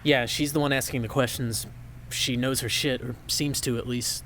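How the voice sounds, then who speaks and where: A man's voice: mid-range voice, fairly even intonation, normal speed, pushed and bright, a little hiss or hum. An actor playing a nervous male archivist who sounds anxious and defensive. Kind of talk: audio drama acting.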